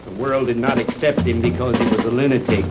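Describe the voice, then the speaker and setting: An actor playing an earnest, indignant investigator with an American accent, speaking in stiff, measured 1960s B-movie style. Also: male voice, medium pitch, steady tone, over loud music, lightly acted. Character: earnest, indignant male investigator confronting a villain. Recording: noisy old 1960s film soundtrack.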